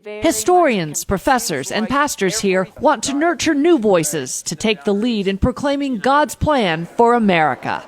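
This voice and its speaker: A woman news correspondent reading a scripted report in a measured, emphatic way. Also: fairly high pitch, very animated.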